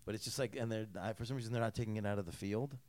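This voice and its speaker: A man hosting a casual chat, rambling with many restarts and trailing off low at the ends of phrases. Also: steady tone, racing, darkish tone, no echo.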